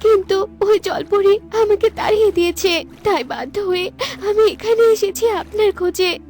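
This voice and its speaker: Cartoon cobra queen, acted by a woman: vengeful, cunning, insistent, very high female voice. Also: moderate pace, darkish tone. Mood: feigned pleading. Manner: dramatic, insistent. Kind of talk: cartoon storytelling.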